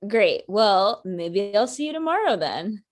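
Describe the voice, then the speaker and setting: A woman's voice: mid-range voice, very animated, measured pace. A woman acting a blunt, sarcastic ex-girlfriend in her thirties in a conversational comedy script reading. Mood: sarcastic, testing.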